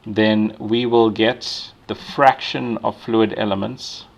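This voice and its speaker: A man's voice: lowish voice, fairly even intonation, slow pace, creaky. A man giving a university lecture, explaining step by step with many restarts and checking in with "right?". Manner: frequent fillers and restarts while thinking aloud.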